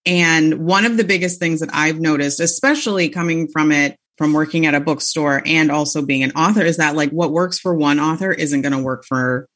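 A woman's voice: sits low, fairly quick, hardly any pauses, a bit bright. A woman author chatting as a podcast guest, talking freely with many "like" fillers and laughing easily. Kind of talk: casual interview chat.

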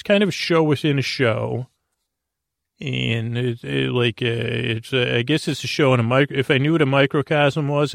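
A man hosting a bedtime podcast, rambling in a halting, meandering way with a creaky, mumbly voice and self-deprecating asides. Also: mid-range voice, a bit bright, no echo, studio quality. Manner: meandering, halting, stumbling over words. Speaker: podcast host and storyteller. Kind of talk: rambling bedtime monologue to help listeners sleep.

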